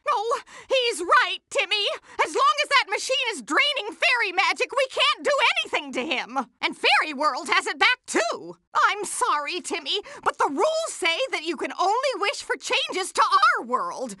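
A woman voicing a sensible, motherly female cartoon fairy in a video game, earnest and fretful, with an expressive delivery. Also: extremely high pitch, moderate pace, few pauses, no echo, studio quality, exaggerated voice. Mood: earnest, worried.

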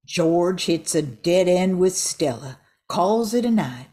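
Older lady narrating a radio play, husky and mellow, takes her time. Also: lowish voice, expressive, slow pace. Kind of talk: scripted radio drama narration.

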